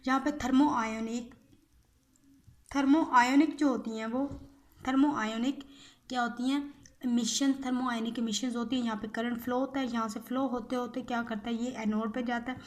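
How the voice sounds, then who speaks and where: A woman's voice: fairly high pitch, fairly even intonation, normal speed. A woman giving an informal explanatory lecture, repeating key phrases and often checking in with short tag words. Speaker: physiotherapy lecturer.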